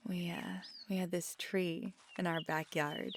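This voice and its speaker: A feisty, affectionate girlfriend played by a woman in an ASMR roleplay, speaking very slowly in a lowish voice. She is teasing and soft-spoken, halting now and then and dipping into whispers, with faint noise behind her. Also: little pitch movement, close to an everyday voice. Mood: wistful, fond. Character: feisty, affectionate magical girlfriend who loves nature.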